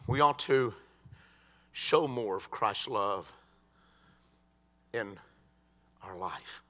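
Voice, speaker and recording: A man preaching a sermon in a church, swinging from quiet talk to shouted crescendos. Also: lowish voice, expressive, drawn-out words.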